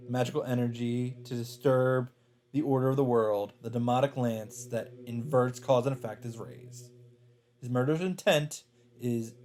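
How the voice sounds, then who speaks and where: A man's voice: medium pitch, darkish tone, a little hiss or hum. A man reading visual novel narration aloud dramatically as a let's player. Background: plucked-string game music.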